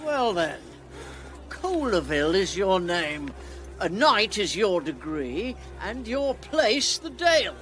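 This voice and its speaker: A man's voice: high-pitched, big pitch swings, fairly bright tone, soft background music, exaggerated voice. An actor playing a pompous, mocking old knight and comic rogue in a classical radio drama, drawing out his words. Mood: mock grand. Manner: slow, pompous, drawn-out delivery.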